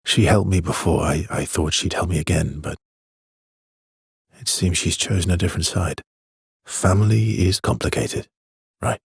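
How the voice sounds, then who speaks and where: A brooding but tender man in naturalistic game dialogue. His low voice is very husky and breathy, sitting close and dry, and he speaks in an understated, halting, intimate way with a gently teasing edge. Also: dry recording, exaggerated voice. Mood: somber, subdued. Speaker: video game voice actor.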